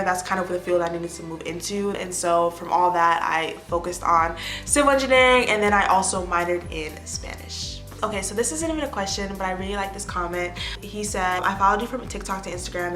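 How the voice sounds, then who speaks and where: A young American woman in her twenties chatting casually to the camera as an influencer, answering fan questions with animated bursts of excitement. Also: fairly low pitch, fairly quick, reverberant, faint music behind. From United States. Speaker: lifestyle influencer. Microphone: phone or tablet camera.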